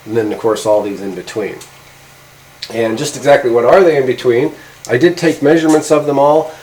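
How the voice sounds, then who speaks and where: A middle-aged man casually hosting a gear test vlog, talking in a halting, informal way. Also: medium pitch, darkish tone, low-quality recording. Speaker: host of a radio gear review channel. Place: outdoors by a garage door, later a garage workshop. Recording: background ambient noise.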